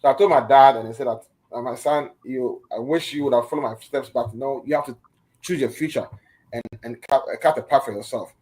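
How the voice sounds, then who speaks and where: A man's voice: medium pitch, fairly quick, darkish tone, low-quality recording. Middle-aged man, earnest guest, stumbles and repeats words. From Ghana. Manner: earnest, repeats and restarts phrases. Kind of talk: radio interview.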